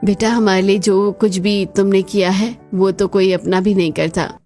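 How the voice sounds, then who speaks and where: A woman's voice: medium pitch, flat delivery, normal speed, warm tone, faint music behind, lightly acted. A woman voicing a grateful middle-aged village mother in a cartoon story.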